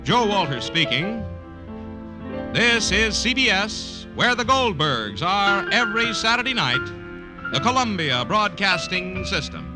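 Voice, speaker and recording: Animated, higher-pitched male radio announcer. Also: unhurried, over loud music. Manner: emphatic, showman-like. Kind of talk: polished 1950 radio announcing.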